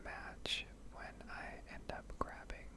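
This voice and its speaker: A woman whispering a casual, rambling, slangy ASMR commentary over gameplay, close to the microphone. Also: slow pace. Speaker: game streamer and ASMR video creator.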